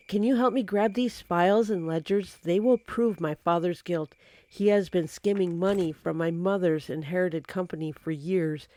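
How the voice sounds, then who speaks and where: Woman playing a mellow, earnest rescued heiress. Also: fairly low pitch, dry recording, close to an everyday voice. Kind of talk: old-time radio acting.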